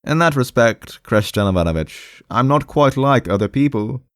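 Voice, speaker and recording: A man's voice: medium pitch, expressive, moderate pace, dry recording, clean studio sound, pitched above the performer's own voice, close to an everyday voice. A man voicing a timid, defensive male clerk in an audiobook, hesitant, repetitive and half-aggrieved, with a strained, raised voice. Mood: half-aggrieved, stammering. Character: timid, defensive, rambling male clerk visiting his doctor. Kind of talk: audiobook character voice.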